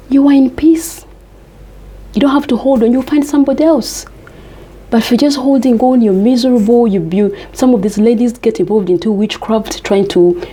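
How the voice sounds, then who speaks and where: A woman's voice: a little high, dark tone, slightly rough. A woman from Uganda, giving earnest, emphatic life advice to camera and repeating her key points.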